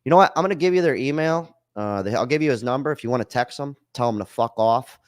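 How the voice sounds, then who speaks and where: A male podcast host with a loose, slangy delivery that turns blunt and profane. The sound is dry and close, with no room around it. His pitch sits in an ordinary middle range. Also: fast, no room sound. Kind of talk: casual chat.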